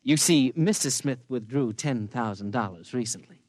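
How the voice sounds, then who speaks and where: Male actor as bank clerk, mid pitch, courteous, apologetic, hesitant, dry sound, 1950s radio drama. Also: normal speed, a little mellow, no room sound, lightly acted. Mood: politely apologetic. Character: polite, apologetic bank teller.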